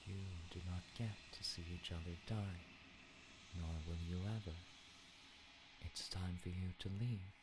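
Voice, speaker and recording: A man playing a solemn, grave narrator of a fantasy game in measured, portentous, poetic delivery with a deep gravelly voice. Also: fairly even intonation. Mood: solemn, intense. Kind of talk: slow dramatic scripted narration. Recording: noticeable background noise.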